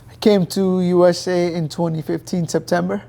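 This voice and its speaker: Young Pakistan-born man, gravelly and mellow. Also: sits high, little pitch movement, normal speed. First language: Urdu. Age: young adult. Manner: plain, unpolished, thinks aloud with fillers. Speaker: guest, professional boxer. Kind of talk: interview answers.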